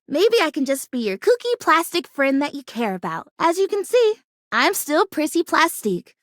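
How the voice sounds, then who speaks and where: Quirky female plastic plant game character, very high voice, gushing, perky, sincere, close dry sound. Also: very animated, normal speed, fairly bright tone, no echo. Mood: cheerful resignation. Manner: bubbly, gushing, earnest, quirky. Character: kooky, perky, earnest female plastic houseplant come to life. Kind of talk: video game character voice acting.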